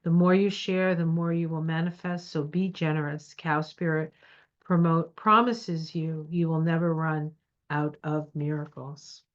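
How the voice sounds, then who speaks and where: Stuffy-nosed woman, lowish voice, lo-fi. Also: steady tone, measured pace. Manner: encouraging, reassuring. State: stuffy nose. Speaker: tarot reader. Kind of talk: casual tarot reading to camera. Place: home desk at her computer. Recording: dull lo-fi sound.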